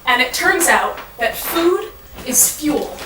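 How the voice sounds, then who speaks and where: A woman delivering a rhyming spoken-word monologue in a dramatic, deliberate storytelling manner. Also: sits high, big pitch swings. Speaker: monologue performer. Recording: echoey, noisy room from far off.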